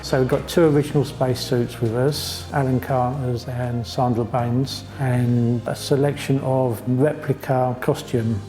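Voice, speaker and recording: A man's voice: mid-range voice, level tone, unhurried, few pauses, warm tone, soft background music, low-quality recording. A man in his fifties explaining a costume collection in an interview. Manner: plain, explanatory. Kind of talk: casual interview.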